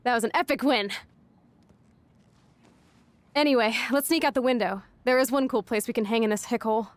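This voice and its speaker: A woman's voice: fairly high pitch, a little fast, no echo, natural voice. An actress playing a rebellious, foul-mouthed teenage punk girl in a video game, brash, slangy and sarcastic. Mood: triumphant.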